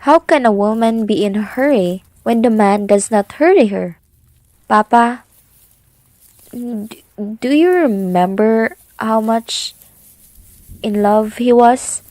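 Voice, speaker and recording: A woman's voice: fairly high pitch, slow, long pauses, darkish tone, no room sound. A woman acting as a curious grown daughter talking with her father in an amateur radio drama.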